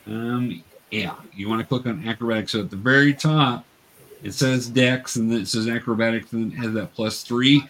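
A man's voice: mid-range voice, normal speed, fairly bright tone, poor audio. A man acting as game master, casually narrating and patiently explaining the game to new players in an encouraging way.

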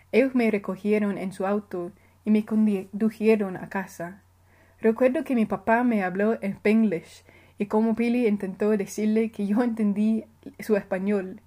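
Mellow-voiced woman reading her essay. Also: medium pitch, steady tone. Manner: careful, measured reading with small self-corrections. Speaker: writer. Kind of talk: reading her own personal essay aloud.

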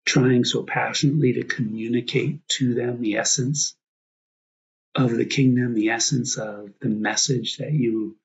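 Husky, gravelly man leading prayer. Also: mid-range voice, muffled recording. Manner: reflective, prayerful, pausing in short phrases. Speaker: priest, meditation guide. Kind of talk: guided prayer meditation.

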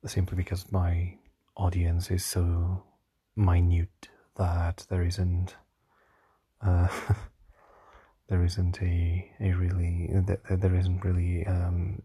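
A tired young man with a slightly hoarse voice, rambling hesitantly through a casual diary vlog with many fillers and restarts. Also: deep voice, completely flat delivery, slow, warm tone. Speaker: vlogger.

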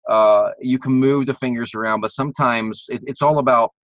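A man's voice: medium pitch, fairly even intonation, moderate pace, a bit bright, dry recording, muffled recording. A man in casual podcast chat, coaching singers with eager, repetitive phrasing. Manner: enthusiastic, repeats words while thinking. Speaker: vocal coach, podcast co-host.

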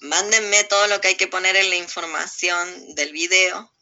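Casting: Argentine woman, ironic and provocative talker, piercingly bright, forceful delivery, slightly dull lo-fi audio. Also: lowish voice, flat delivery, normal speed. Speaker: interview guest with own video channel. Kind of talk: opinionated casual conversation.

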